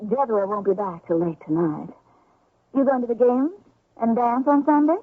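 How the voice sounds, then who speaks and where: Commanding young frontier wife, husky voice. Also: female voice, on the high side, normal speed. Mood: coaxing. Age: young adult. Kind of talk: radio drama acting. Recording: narrow-band, echoey old radio recording.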